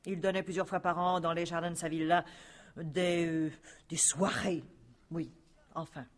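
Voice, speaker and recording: A woman's voice: lowish voice, steady tone, moderate pace, close to an everyday voice. A woman playing a self-important, gossipy painter and neighbour in a radio crime drama, with an emphatic, theatrical delivery. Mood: disdainful. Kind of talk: 1950s-style French radio crime drama acting.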